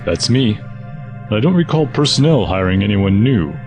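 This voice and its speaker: An actor playing an unassuming head janitor in an audio drama, laconic and low-key. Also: male voice, sing-song, moderate pace. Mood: guarded. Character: unassuming, dry head janitor, secret ally. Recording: loud music under the voice.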